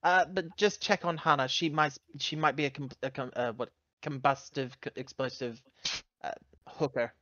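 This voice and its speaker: A player voicing a defiant, stubborn woman on an armed crew, speaking bluntly and haltingly in a tabletop game. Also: mid-range voice, steady tone, fairly bright tone, dry recording, highs cut off, close to an everyday voice. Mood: grudging.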